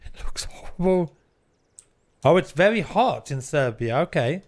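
A man talking to viewers on a live game stream in a relaxed, rambling way. He reads the chat aloud, drops the odd swear word and keeps up a loose running commentary. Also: fairly high pitch, a little slow.

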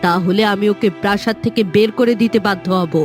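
A woman's voice: medium pitch, fairly even intonation, brisk, a little mellow, soft background music, close to an everyday voice. A woman playing a stern, suspicious queen mother in a fairytale cartoon, speaking reproachfully. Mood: coolly warning. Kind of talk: Bengali fairytale cartoon acting.

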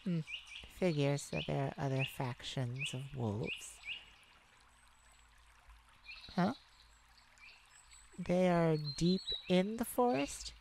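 A woman playing a tenderhearted mage devoted to a werewolf partner in a romantic ASMR roleplay, soft, affectionate and creaky, with pauses. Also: sits low, slight background noise, exaggerated voice. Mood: cordial, diplomatic. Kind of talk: romantic ASMR roleplay, one-sided dialogue.

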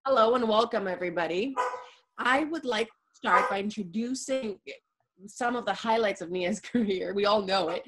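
A woman's voice: mid-range voice, moderate pace, crowd noise, rough recording. A woman in her thirties moderating an interview over a video call from a living room. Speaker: moderator, film producer.

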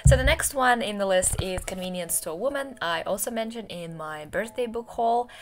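A woman's voice: mid-range voice, lively intonation, moderate pace, faint music behind. Chatty young woman with Russian as native language. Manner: chatty, many ums and uhs. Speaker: book vlogger. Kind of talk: casual book talk. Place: home room with bookshelves, indoors. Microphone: desk podcast mic on a boom arm.

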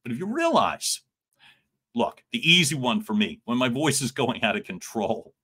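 A middle-aged man coaching live from a room at home, close on a big studio mic. His voice is loud and bright, and it swings widely in pitch as he jokes with his viewers. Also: moderate pace, breathy edge. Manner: animated, joking with viewers, loud and expressive. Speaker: communication coach. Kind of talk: livestream coaching talk. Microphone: large studio mic in front.

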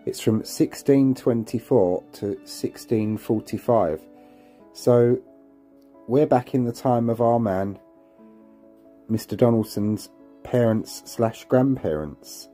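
Dark, mellow-voiced man hosting a history vlog. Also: medium pitch, takes it slowly, soft background music. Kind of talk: conversational narration to viewers.

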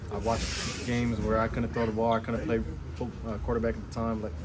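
A young man speaks quickly in a low, flat voice, pausing and restarting as he fights back emotion. He answers reporters into a cluster of handheld mics. Heavy locker room noise sits under every word. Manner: soft-spoken, emotional, many fillers and restarts. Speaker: football player. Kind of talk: post-game locker room interview. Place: stadium locker room, indoors. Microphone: several reporters' handheld mics. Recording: loud room noise behind.

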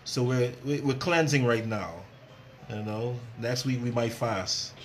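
A man's voice: medium pitch, unhurried. A man presents a casual cooking demo as a chef. He is chatty, with a mid-range voice and a habit of repeating phrases for emphasis. Light background noise sits behind him. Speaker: chef and cooking show host.

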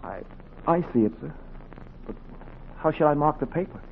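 A man's voice: a little high, lively intonation, moderate pace, creaky. An actor playing an earnest, deferential young medical apprentice in a 1940s radio drama, polite and careful in his speech. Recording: tinny old radio, faint noise.

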